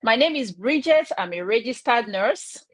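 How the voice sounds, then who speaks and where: A woman's voice: fairly high pitch, animated, normal speed, dry recording. A woman from Nigeria hosting a live online chat, upbeat and inviting.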